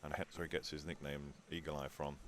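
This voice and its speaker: Male commentator, very low voice, chatty anecdote teller, faint background hum. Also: little pitch movement, fast talker. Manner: chatty, tells anecdotes about players. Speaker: pool commentator. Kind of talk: live sports commentary.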